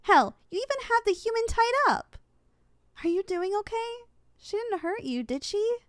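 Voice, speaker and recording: A woman's voice: very high-pitched, big pitch swings, moderate pace, no room sound, clean studio sound. A young woman playing a possessive, easily flustered succubus student in an ASMR audio roleplay, blunt and indignant in manner. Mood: outraged, protective.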